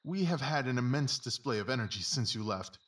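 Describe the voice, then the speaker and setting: A man playing a brisk, commanding, observant gentleman detective in an audio drama, with clipped, formal, instructive phrasing. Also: mid-range voice, expressive, lightly acted. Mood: wry. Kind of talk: audio drama acting of Victorian story.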